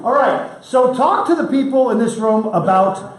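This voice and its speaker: A man's voice: very high voice, lively intonation, moderate pace. A man casually hosting a public talk, chatting and joking in a breezy, informal way. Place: indoors, public library room. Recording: echoey room, faint noise.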